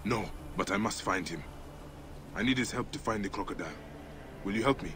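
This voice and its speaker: An actor playing a solemn, determined Egyptian warrior with a grave, deliberate delivery in a game cutscene. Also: male voice, on the low side, noisy background, natural voice. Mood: urgent, determined. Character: solemn, determined Egyptian warrior protector, grown man, a father. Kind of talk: dramatic game cutscene acting.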